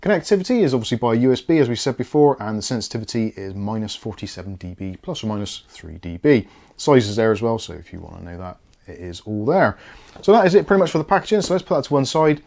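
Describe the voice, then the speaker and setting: A man's voice: mid-range voice, sing-song, fast talker, gravelly, darkish tone. A British man giving a casual product review and unboxing, explaining things in a chatty, easygoing way.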